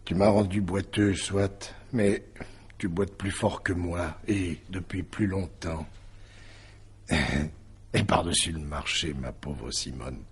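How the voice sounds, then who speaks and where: An actor playing a bitter, wounded man turning coldly sardonic in a radio mystery drama, with halting, repetitive phrasing and a raspy, breathy voice. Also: low-pitched, exaggerated voice. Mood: sardonic, cruel. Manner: halting, sardonic, repeats words.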